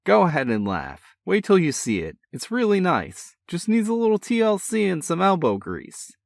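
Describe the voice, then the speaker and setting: Man putting on a boisterous older brother, raised pitch, folksy and loud, dry close sound. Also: wildly swooping pitch, normal speed, creaky, no room sound, exaggerated voice. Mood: excited. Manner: loud, folksy, keeps calling his brother kid. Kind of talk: audiobook character voice.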